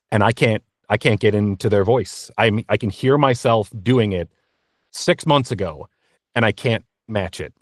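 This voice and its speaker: A man speaking as an interview guest about his work as a voice actor, in a reflective, halting, self-deprecating way from a small booth. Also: on the low side, no echo, clean studio sound. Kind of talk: candid interview talk. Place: small blanket-fort recording booth.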